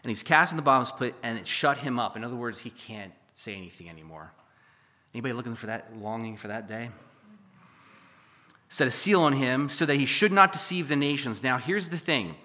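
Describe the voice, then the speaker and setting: A hoarse man preaching a sermon as pastor, reading scripture and adding chatty, joking asides, often checking in with "okay?" and "right?". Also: medium pitch, expressive, a little fast, bright, forceful tone. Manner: conversational, with jokey asides and frequent "okay?" and "right?". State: hoarse from losing his voice. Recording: echoey hall reverb.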